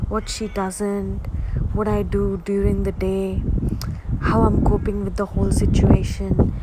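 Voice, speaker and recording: A woman giving a tender, halting, heartfelt memorial tribute as a family friend. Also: mid-range voice. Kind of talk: personal spoken tribute. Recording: loud background noise.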